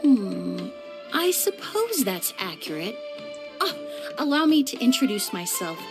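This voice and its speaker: A woman playing a poised, courteous young perfumer in a video game, with a formal, measured, polite manner. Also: a little high, big pitch swings, music in the background, low-quality recording.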